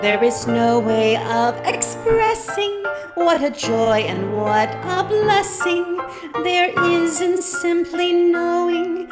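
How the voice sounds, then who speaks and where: A woman's voice: very high-pitched, lively intonation, takes it slowly, loud music behind the voice, heavily acted. A woman playing a blissful, devoted young bride, singing in musical theatre style over accompaniment.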